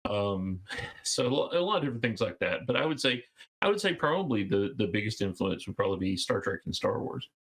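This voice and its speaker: A man's voice: on the low side, sing-song, quick pace, vocal fry, a bit bright. A man of fifty from Georgia, chatting casually as an interview guest, rambling with fillers and restarts. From Georgia, USA. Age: 50s. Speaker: guest game designer.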